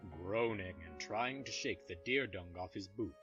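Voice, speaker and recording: Low-voiced male story narrator. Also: a little slow, a bit bright, lo-fi sound, faint music behind. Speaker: narrator of fan audio drama.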